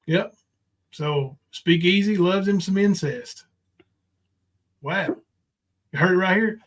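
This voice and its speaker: A man's voice: high-pitched, takes it slowly. A man hosting a live panel stream, chatting casually in a folksy, rambling way. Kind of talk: casual livestream chat.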